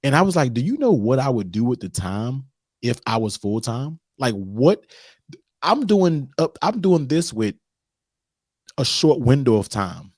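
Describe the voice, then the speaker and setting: A man in his thirties, a guest in a casual chat, talking excitedly and often asking "you know what I mean". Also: mid-range voice, measured pace, dry recording, studio quality. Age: 30s. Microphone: video call.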